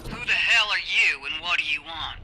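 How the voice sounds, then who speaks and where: An actress playing a tough, war-scarred mech pilot in a video game, blunt and commanding. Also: female voice, sits low, expressive, moderate pace, bright, forceful tone, slight background noise, full character voice, rough recording. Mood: defiant, contemptuous. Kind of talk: video game cutscene acting.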